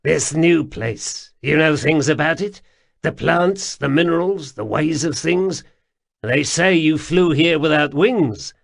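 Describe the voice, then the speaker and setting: A man voicing an excitable, wheedling grimy male cave-dweller who talks loudly and pleads. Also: fairly high pitch, takes it slowly, gravelly, voice pushed up, exaggerated voice. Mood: agitated.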